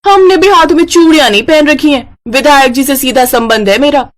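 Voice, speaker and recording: A plucky young village snack seller voiced by a woman in a kids' cartoon. She is high-pitched and scrappy, as ready to hawk her food as to stand up for herself. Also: fairly quick, lightly acted. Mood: angry, threatening. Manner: loud hawking and scrappy scolding. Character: feisty hardworking young village woman selling pani puri. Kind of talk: children's cartoon story acting.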